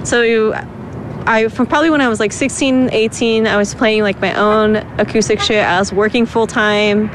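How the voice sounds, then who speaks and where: A woman's voice: a little high, fairly even intonation, normal speed, slight background noise, low-quality recording. A woman in her twenties from Southern California, a musician guest talking casually with slang and frequent laughter. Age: 20s. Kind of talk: casual interview chat.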